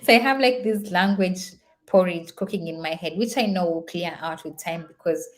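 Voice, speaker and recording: Woman, young adult, native Swahili speaker, lowish voice, chatty, many fillers. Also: normal speed, poor audio. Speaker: vlogger. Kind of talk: casual reflective vlog.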